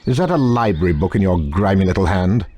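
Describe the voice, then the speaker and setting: An actor playing a cold, contemptuous potions master in a video game, with a slow, sardonic, disdainful delivery. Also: male voice, low voice, animated, dark tone. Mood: sneering, suspicious. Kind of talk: video game cutscene acting. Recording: clearly noisy old game audio.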